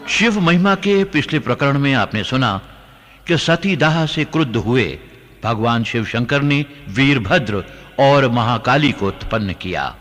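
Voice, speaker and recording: A man announcing a radio drama, giving solemn, deliberate scripted narration. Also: medium pitch, fairly bright tone. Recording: long reverb on voice.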